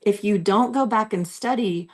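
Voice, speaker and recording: A woman in her forties, slightly hoarse, giving an earnest, encouraging self-help talk to camera from a room at home, repeating her key phrases. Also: medium pitch, moderate pace. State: losing her voice. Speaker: host.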